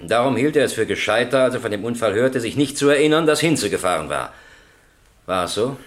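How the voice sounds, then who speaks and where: An actor playing a stern, formal presiding judge who questions with authority in a German radio crime drama. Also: male voice, mid-range voice, animated, a little fast, natural voice. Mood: probing. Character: stern, probing male presiding judge in a courtroom.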